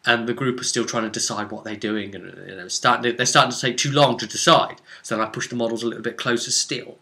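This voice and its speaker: A man with a crisp, bright voice and an average pitch talks through a role-playing session as its game master. His delivery is casual and rambling, like someone telling a story to friends, with animated turns as he relays what the players did. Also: fast.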